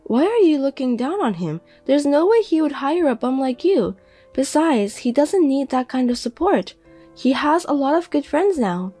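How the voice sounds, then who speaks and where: Casting: high, mocking mother voiced by a woman, scornful, drama audio. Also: very animated, moderate pace, warm tone, soft background music. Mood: indignant. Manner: mocking, gloating. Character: scornful mother, middle-aged, sharp-tongued.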